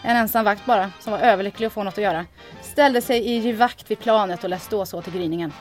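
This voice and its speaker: An actress playing a brisk, dry-humoured woman pilot and resistance agent in a radio play. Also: mid-range voice, faint music behind, natural voice. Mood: cool.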